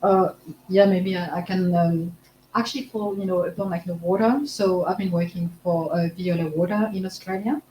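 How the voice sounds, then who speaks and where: A woman's voice: lowish voice, fairly even intonation, moderate pace, warm tone. A woman from France with a French accent, talking as a marketing panelist and scattering "like, you know" through her sentences. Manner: heavy use of "like, you know" fillers. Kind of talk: panel discussion. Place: conference panel room. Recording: echoey room, faint hum.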